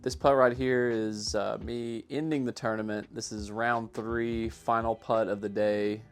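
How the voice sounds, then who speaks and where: A man giving a casual, self-deprecating voice-over commentary on his own amateur sports highlights in a matter-of-fact way. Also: flat delivery, measured pace, soft background music. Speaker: amateur disc golfer narrating own highlights.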